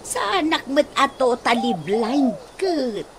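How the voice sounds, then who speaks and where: Woman playing a very high, gentle trusting friend. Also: sing-song, slow, noisy background, exaggerated voice. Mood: hopeful, confiding. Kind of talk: Ilocano radio comedy drama acting.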